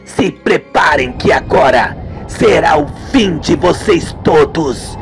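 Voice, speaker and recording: A man voicing an elderly woman turned terrible witch in a radio melodrama, menacing and imperious, with theatrical drawn-out delivery. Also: on the high side, expressive, gravelly, faint music behind, full character voice. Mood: menacing, invoking.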